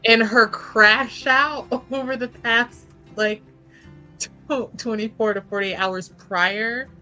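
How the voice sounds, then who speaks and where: A woman playing a tabletop game, describing her character's actions in casual, halting, informal talk. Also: a little high, steady tone, pushed and bright, rough recording. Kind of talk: casual improvised roleplay chat. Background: music.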